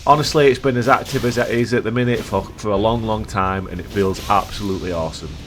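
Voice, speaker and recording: Croaky man, playful game commentary. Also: fairly low pitch, quick pace, noisy background. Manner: playful, jokey, enthusiastic. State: croaky from a cold. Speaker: gaming video host. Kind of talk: casual gameplay commentary.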